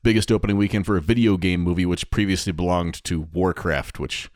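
A man's voice: low voice, steady tone, a little fast, a little mellow, dry recording, clean studio sound. A man co-hosting a podcast, talking casually in an informal, conversational manner. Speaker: podcast co-host.

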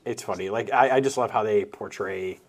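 A man's voice: on the low side, fairly quick. Easygoing man in casual podcast banter. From New Hampshire. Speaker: podcast co-host. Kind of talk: casual chat.

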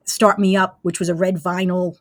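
A woman in her sixties telling casual anecdotes as an interview guest, with an animated storytelling manner. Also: on the low side, normal speed, dry recording, studio quality. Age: 60s. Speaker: interview guest, former college radio engineer.

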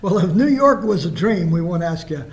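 A man hosting a radio interview at a banquet, asking questions in a halting way with many restarts. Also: sits high, animated. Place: banquet hall.

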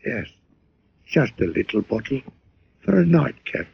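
An actor playing a mad, murderous barkeeper with a folksy, bitter manner and a husky voice in 1940s radio drama style. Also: male voice, mid-range voice, very animated, unhurried, slight background noise, exaggerated voice. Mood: sly, confiding. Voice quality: husky, gravelly. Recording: dull old radio recording.

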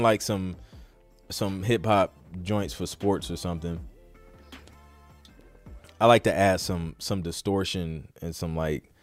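A man hosting a casual livestream Q&A about music production, talking in an informal, slangy, conversational way. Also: low-pitched. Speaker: podcast host and music producer.

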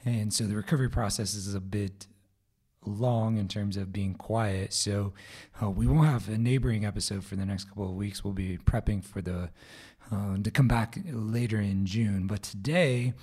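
A man with a raspy, hoarse voice hosting a solo podcast episode, talking casually with fillers and restarts. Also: lowish voice, steady tone, moderate pace, warm tone. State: hoarse from a vocal cord growth. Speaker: podcast host.

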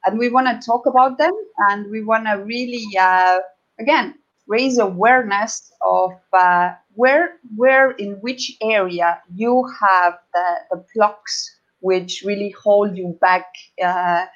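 A woman from Estonia with an Estonian accent, answering as an interview guest in a halting, conversational way with frequent pauses and repeated words. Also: mid-range voice, fairly bright tone, muffled recording.

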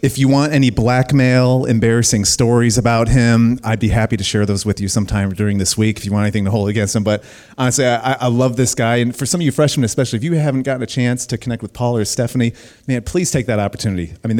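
A man's voice: mid-range voice, darkish tone. An American man, a guest pastor, preaching conversationally to students in a casual, joking way with youthful slang. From United States, Illinois.

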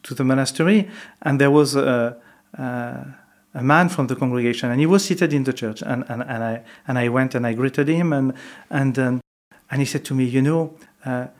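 A man from France with a French accent, a monk telling stories in an interview, hesitant with many fillers and restarts. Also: medium pitch. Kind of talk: reflective interview storytelling.

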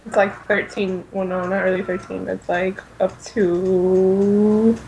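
A woman's voice: medium pitch, flat delivery, slow pace, darkish tone, rough recording. A woman chatting casually as a panelist on a podcast. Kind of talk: casual chat. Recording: noticeable background noise.

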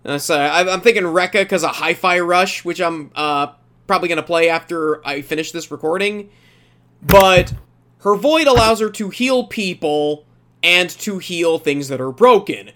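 A man's voice: high voice, lively intonation, normal speed, fairly bright tone. Emphatic man hosting a review podcast. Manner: emphatic, mock-quoting storyteller. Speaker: podcast host leading an anime review. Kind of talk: casual opinionated review chat.

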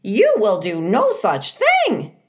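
A woman's voice: medium pitch, wildly swooping pitch, takes it slowly, pitched above the performer's own voice. A woman voicing a blunt, fussy, motherly female goose in a children's storybook, with squawking, emphatic, opinionated delivery. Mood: bossy. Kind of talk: children's storybook character reading.

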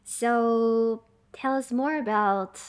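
A woman's voice: fairly high pitch, extremely slow, smooth. A woman hosting a mindfulness podcast who interviews her guest in a friendly, conversational way. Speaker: podcast host.

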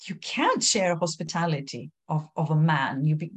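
A woman's voice: deep voice, expressive, normal speed, breathy edge. A woman whose first language is Lithuanian, thoughtfully explaining scripture in a casual discussion. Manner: thoughtful, explanatory, light laughs.

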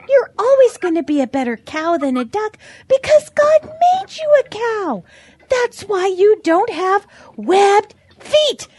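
A gentle, pious hen in an audio drama. The voice is extremely high and very lively in its swoops, earnest and preachy, recorded close and dry. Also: female voice, no room sound, full character voice. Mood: concerned, firm.

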